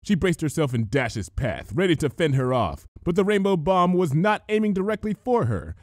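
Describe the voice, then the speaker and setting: A man reading a comic adventure story aloud as an expressive scripted narration. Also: a little high, moderate pace, no echo, studio quality. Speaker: fan fiction reader, narrator.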